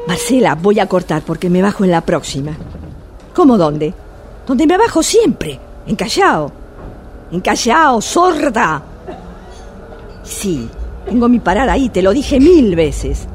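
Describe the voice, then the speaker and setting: An actress playing an exasperated, sarcastic blind street vendor in a radio drama, with a Rioplatense Argentine accent. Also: female voice, mid-range voice, big pitch swings, unhurried, long pauses. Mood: impatient. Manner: complaining, sarcastic, emphatic. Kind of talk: radio drama monologue as phone call. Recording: loud subway train noise throughout. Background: subway ambience.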